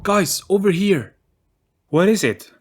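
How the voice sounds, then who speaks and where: A man voicing an excitable, anxious young male adventurer who exclaims and questions in an audio story. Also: high voice, slow pace, slightly rough, voice pushed up, full character voice. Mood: alarmed.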